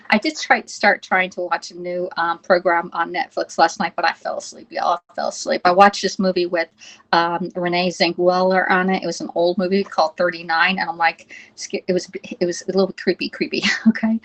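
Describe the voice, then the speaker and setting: A woman's voice: fairly low pitch, fairly bright tone, low-quality recording. A woman from the United States hosting a live cooking stream, chatting casually and reading viewer comments aloud while she fumbles with the app.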